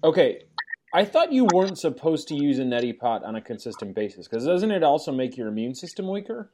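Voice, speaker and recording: A man's voice: on the high side, big pitch swings, brisk, darkish tone, a little hiss or hum. Playful man hosting a podcast chat. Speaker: podcast host. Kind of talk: casual chat.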